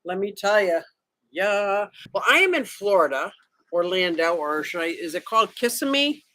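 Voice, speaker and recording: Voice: mid-range voice, very animated, moderate pace, pushed and bright, no room sound. A livestream host chatting casually with viewers from a vacation room, joking around and greeting people by name. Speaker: livestream host reading chat. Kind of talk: casual live chat.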